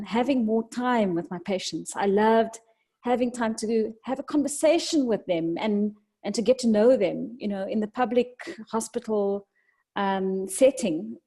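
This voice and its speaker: A South African woman talks as a guest in a podcast interview. Her voice is mellow and mid-pitched, and she speaks reflectively, pausing often as she gathers her thoughts. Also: slightly rough. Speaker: podcast guest, medical doctor.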